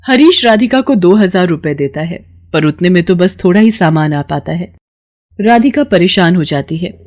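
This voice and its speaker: A woman's voice: fairly low pitch, animated, normal speed, a little mellow, no room sound. A woman narrating a scripted story as its storyteller.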